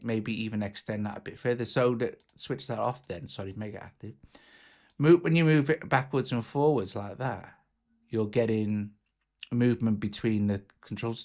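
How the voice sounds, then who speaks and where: A man giving an informal, enthusiastic walkthrough as a music software tutorial presenter, talking casually. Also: mid-range voice. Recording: music playing behind the voice. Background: drum machine and instruments.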